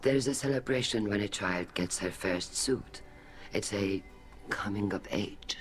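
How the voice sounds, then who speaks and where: Low, solemn older alien admiral played by a man. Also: measured pace, faint music behind, close to an everyday voice. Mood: somber, reflective. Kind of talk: video game voice acting. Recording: clear background noise.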